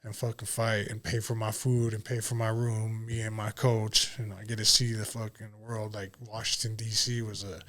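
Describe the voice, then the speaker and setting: A man's voice: lowish voice, flat delivery, moderate pace, few pauses, fairly bright tone. An American man in his late thirties chatting casually as a fighter on a podcast, slangy and swearing freely. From Utah, United States. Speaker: MMA fighter, podcast guest. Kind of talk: casual podcast interview chat.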